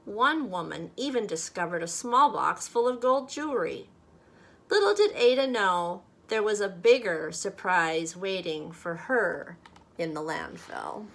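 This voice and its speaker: A woman from a church talking to children and reading a storybook aloud in a deliberate, expressive way. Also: mid-range voice. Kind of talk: children's read-aloud and friendly talk to kids.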